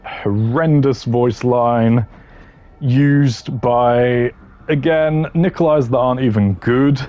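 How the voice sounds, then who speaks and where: A man's voice: medium pitch, slow, dark tone, dull audio. A man giving casual, opinionated gaming commentary in a blunt, dismissive way with dry humour. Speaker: gaming content creator ranking voice lines.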